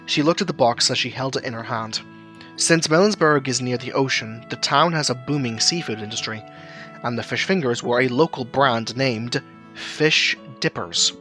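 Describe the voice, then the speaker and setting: A man's voice: medium pitch, moderate pace, sharp tone, soft background music. A man reading scripted narration for an audio drama as its storyteller.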